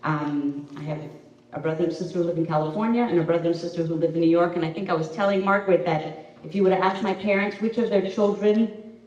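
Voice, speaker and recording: Middle-aged woman from boston, lowish voice, telling family stories with lots of ums, sounds far off in a big echoey room. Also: lo-fi sound. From Boston area, USA. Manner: warm storytelling, many um pauses. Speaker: interview guest, preschool director. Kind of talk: casual conversational interview. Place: community center event room.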